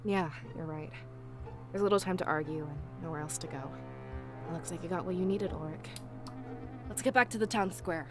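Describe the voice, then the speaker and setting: A woman's voice: lowish voice, normal speed, pauses often, over music, close to an everyday voice. An actress playing an earnest, questioning young female fox-like villager in a video game, serious and insistent. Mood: suspicious, resolute.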